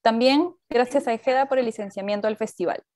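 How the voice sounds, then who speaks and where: A woman's voice: on the high side, fairly quick, a little mellow, no echo. Woman giving a formal welcome, dry sound. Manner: reads a prepared welcome. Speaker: festival event coordinator. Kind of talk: formal introduction. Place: home bedroom, video call. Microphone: earphone headset on video call.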